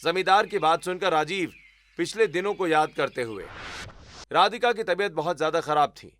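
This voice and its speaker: A man's voice: a little high, expressive, normal speed, a bit bright, a little hiss or hum. A man narrating a scripted moral story as the storyteller.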